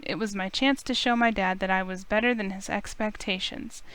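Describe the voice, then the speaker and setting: A woman streamer reading visual novel narration aloud in an expressive storytelling style. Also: mid-range voice, normal speed, clean tone.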